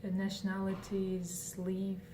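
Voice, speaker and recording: A young woman with a Kazakh accent speaks slowly and haltingly, pausing often on fillers as she searches for words. Her voice is mellow and soft. She sits a little back from the microphone, with faint noise behind her. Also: mid-range voice, monotone. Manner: hesitant, many fillers and restarts. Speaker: interviewee. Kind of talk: casual interview answers. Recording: faint noise, distant from mic.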